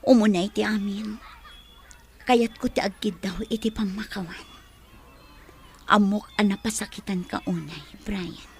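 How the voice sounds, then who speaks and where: A woman's voice: mid-range voice, steady tone, a little slow, vocal fry, pauses often, soft background music. A woman playing a heartbroken, regretful young woman in a radio drama, pleading and tearful, with a Filipino Ilocano accent.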